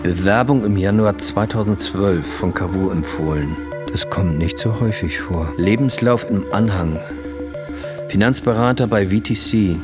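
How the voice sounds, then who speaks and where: Low-voiced, clipped security officer, acted by a man. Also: normal speed, natural voice. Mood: dry suspicion. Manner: clipped, matter-of-fact. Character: dry, suspicious male corporate security officer reviewing files. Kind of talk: German radio drama acting. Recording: music behind the voice.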